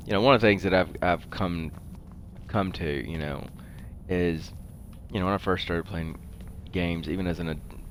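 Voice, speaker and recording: A man's voice: low-pitched, fairly bright tone. A middle-aged man giving casual, rambling commentary while he plays a video game. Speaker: gaming show host. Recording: faint background noise.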